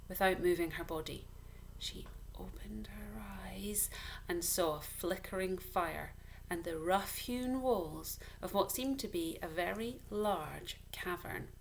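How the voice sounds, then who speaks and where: A woman reading a children's story aloud, deliberately and with dramatic pauses. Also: fairly low pitch, slight background noise, poor audio. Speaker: story reader.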